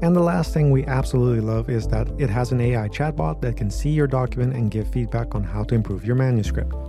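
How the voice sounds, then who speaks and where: A man co-hosting a science podcast, reading sponsor lines and asking the guest calm questions. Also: medium pitch, quick pace, music in the background. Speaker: podcast co-host. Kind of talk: interview and sponsor read.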